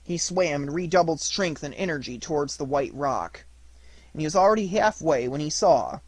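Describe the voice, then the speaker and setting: A man narrates a children's storybook in a fairly high voice. His delivery is scripted, deliberate and dramatic, and the recording is close and dry. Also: lively intonation, no echo. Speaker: audiobook narrator.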